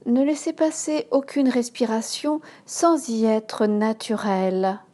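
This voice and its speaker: A woman yoga instructor leading a guided relaxation in a calm, measured manner, giving instructions in short phrases. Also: fairly high pitch. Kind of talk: slow guided relaxation meditation.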